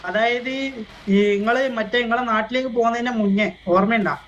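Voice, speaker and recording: A man's voice: very high voice, steady tone, fairly quick, fairly bright tone, dull audio. A man with a north Kerala accent chatting casually as a player in an online roleplay game. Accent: north Kerala Malayalam. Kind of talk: casual in-game chat, giving advice. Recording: noisy game voice chat.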